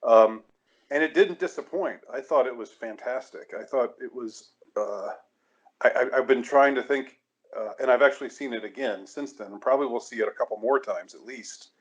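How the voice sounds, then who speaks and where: A man at mid pitch hosting a podcast, casual and halting, often restarting his sentences. His audio sounds muffled and lo-fi. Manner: hesitant, casual, many restarts. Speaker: podcast host. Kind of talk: podcast discussion.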